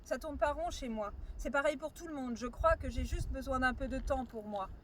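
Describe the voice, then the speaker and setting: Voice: sits high, moderate pace. A slam poet reciting spoken word with a rhythmic, intense delivery that swells and drops. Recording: outdoor recording with clear ambient noise.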